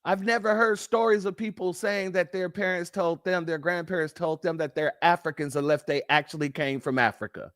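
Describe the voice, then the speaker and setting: A man's voice: high-pitched, steady tone, a little fast, few pauses, fairly bright tone, no echo, clean studio sound. A man hosting a livestream, delivering fiery, emphatic and profane preaching-style commentary and thanking donors by name. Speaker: livestream host.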